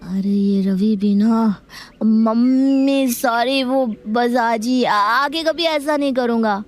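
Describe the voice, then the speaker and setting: A woman voicing a sly, wheedling schoolboy in an animated moral story. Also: on the high side, takes it slowly. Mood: sheepish. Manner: pleading, sly. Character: cheeky, wheedling schoolboy outwitting his elders.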